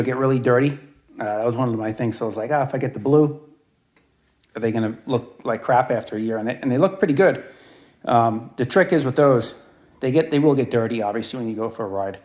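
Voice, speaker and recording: Casting note: man, mid-forties, laid-back vlogger, rambling and unscripted, stops and restarts a lot, mid-pitched voice. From southern Arizona. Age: 40s. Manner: rambling, many fillers and restarts. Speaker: vlogger reviewing his own quad. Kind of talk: casual walkaround review. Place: outdoors at his home property.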